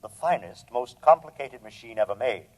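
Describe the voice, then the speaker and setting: A man's voice: medium pitch, lively intonation, normal speed, a little mellow, close to an everyday voice. A man playing a superior, coolly menacing alien robot with formal, precise speech in a 1950s radio drama. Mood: proud, superior. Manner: formal, precise, proud, matter-of-fact. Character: superior, coolly menacing male humanoid robot from another planet. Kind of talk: 1950s radio science-fiction acting. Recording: dull, lo-fi old radio recording.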